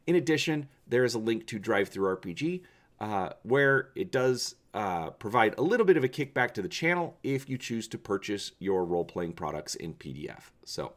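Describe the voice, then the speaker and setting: A man hosting and narrating a storybook read-through, speaking with expressive, emphatic presenter delivery. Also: medium pitch. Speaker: channel host and story reader.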